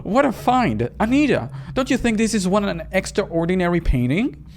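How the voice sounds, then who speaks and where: A man voicing an excitable, dorky middle-aged father in a visual novel, gushing with delight. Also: high voice, moderate pace, slight background noise, pitched above the performer's own voice. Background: music behind the voice.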